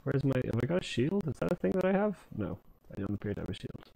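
A man's voice: mid-range voice, sing-song, quick pace, vocal fry, dark tone, low-quality recording. A man playing a video game on a comedy show, chatting casually and laughing at his own mistakes. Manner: laughing, self-mocking. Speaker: player and lead character. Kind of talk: casual comedic gameplay chat.

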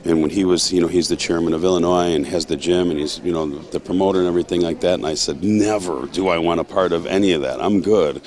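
Middle-aged male promoter, very low voice, excited, rambling, on stage. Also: quick pace, few pauses. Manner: excited, rambling, repeats words. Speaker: show promoter. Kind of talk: casual interview. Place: large indoor stage. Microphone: interviewer's handheld mic.

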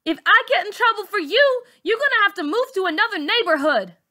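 A woman's voice: very high voice, lively intonation, brisk, bright, forceful tone, pitched above the performer's own voice, exaggerated voice. A woman voicing a threatening, scornful teenage bully girl in an audiobook reading, with a taunting manner. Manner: menacing, taunting.

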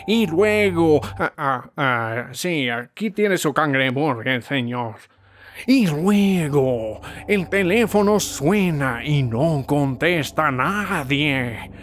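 A man voicing a sardonic cartoon squid who tells spooky tales in a drawn-out, ominous way. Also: a little high, animated, a bit bright, pitched above the performer's own voice. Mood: spooky, teasing. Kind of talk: cartoon dub.

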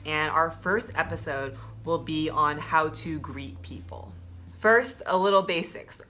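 A woman's voice: sits low, bright, forceful tone. A woman in her thirties with an American accent, playfully hosting a casual language lesson and chatting. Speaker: host and learner. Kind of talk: casual scripted-light language lesson chat. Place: small plain room indoors.

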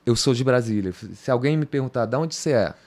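Here is a middle-aged Brazilian man answering in a podcast chat, his voice sitting in an ordinary male pitch. He wanders through his memories with plenty of restarts and little tag questions. He is heard close on a boom mic in a small home studio. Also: quick pace, darkish tone. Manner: rambling, restarts, slangy "cara", "entendeu?". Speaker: podcast guest, guitarist. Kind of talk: casual interview talk. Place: home music studio, indoors. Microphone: studio mic on boom arm.

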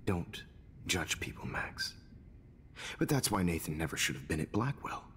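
An actor playing a smug, sinister teacher turned kidnapper in a video game, speaking in a measured, menacing way. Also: male voice, sits low, sing-song, a bit bright, husky, full character voice. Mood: coldly menacing. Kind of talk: video game villain acting.

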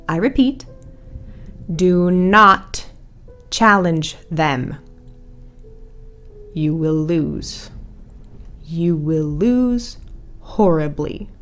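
A woman playing an eerie, gracious small-town radio host, reading horror-comedy news in a measured, ominous deadpan. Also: on the low side. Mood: grave. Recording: music bed under the voice. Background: music.

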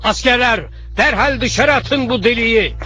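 A man's voice: extremely high pitch, moderate pace, bright, forceful tone, soft background music. An actor playing an obsequious, theatrical male royal advisor in a dubbed cartoon. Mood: indignant. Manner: deferential, theatrical.

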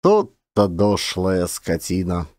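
A man's voice: low voice, sing-song, slow pace, darkish tone, dry recording, studio quality, pitched below the performer's own voice, exaggerated voice. A man voicing a rude, defiant, grumbling peasant rogue in a storybook reading. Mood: grudging surprise. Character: gruff, quarrelsome peasant layabout and petty thief.

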